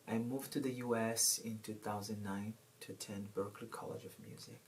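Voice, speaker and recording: A man from Mozambique, a musician whose mother tongue is Shangana, briskly introducing his live performance from home. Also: on the low side, steady tone, a little hiss or hum. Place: home in Cambridge.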